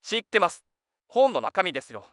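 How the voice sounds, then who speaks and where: A man's voice: sits high, big pitch swings, moderate pace, fairly bright tone, dry recording, studio quality. A young man playing an earnest junior detective in an audio drama, politely complaining to his senior and often exasperated. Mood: exasperated, curious.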